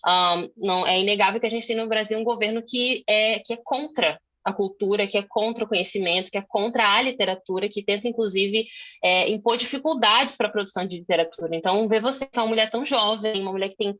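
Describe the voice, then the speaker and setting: A woman's voice: mid-range voice, fast, a bit bright. A young woman from Brazil moderating a conversation on a video call, thinking aloud with many fillers and restarts. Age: young adult.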